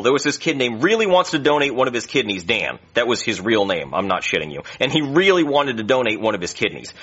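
A man as a rambling, husky deadpan doctor. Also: medium pitch, dull audio, exaggerated voice. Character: chatty, shady, nonchalant adult male doctor, rambling storyteller. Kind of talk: comedy skit character acting.

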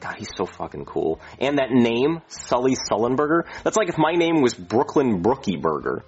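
A man's voice: mid-range voice, sing-song, normal speed, a little mellow, breathy edge, dull audio. A man playing a crude rambling pilot. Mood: boastful. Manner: rambling, boastful, swearing. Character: reckless, crude male airline pilot.

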